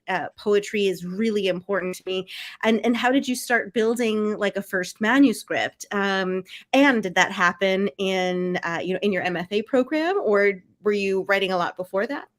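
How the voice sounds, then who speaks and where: Effusive woman hosting a live interview. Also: mid-range voice. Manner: effusive, enthusiastic. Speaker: host.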